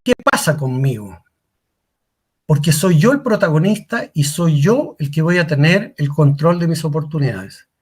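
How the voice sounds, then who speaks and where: A man's voice: on the high side, animated, moderate pace, slightly rough. A middle-aged man giving a career workshop talk as a coach, asking rhetorical questions and repeating key lines for emphasis. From Chile.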